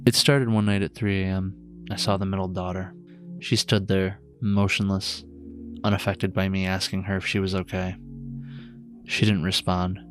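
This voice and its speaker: A man acts as an eerie male storyteller in a horror audio drama, confiding strange tales. His voice is fairly low. Music plays clearly behind him. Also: little pitch movement, normal speed, lightly acted. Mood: ominous, hushed unease. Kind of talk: audio horror anthology acting.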